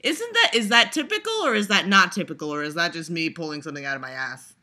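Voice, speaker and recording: A woman's voice: lowish voice, expressive, fast, pushed and bright. A young woman vlogger giving a sarcastic, profane reaction, rambling with lots of laughs and fillers. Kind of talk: casual reaction commentary.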